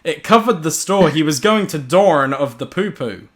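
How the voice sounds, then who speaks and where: A man's voice: on the high side, lively intonation, moderate pace. A young man reading a silly horror story to friends, giggling and stumbling over the words as storyteller.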